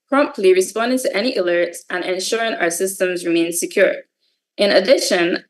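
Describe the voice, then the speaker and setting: A woman with a Barbadian accent speaks as a guest presenter. Her voice sits at a middle pitch. She delivers prepared material in a formal, articulate way. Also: rough recording. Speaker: guest speaker, cybersecurity lead. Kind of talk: scripted presentation. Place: online video session.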